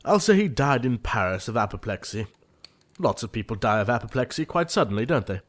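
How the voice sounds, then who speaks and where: An actor playing an earnest, fussy young gentleman in a comedy audio play. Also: mid-range voice, very animated, a little fast. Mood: brisk, dismissive. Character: earnest, easily exasperated young English gentleman, lovesick.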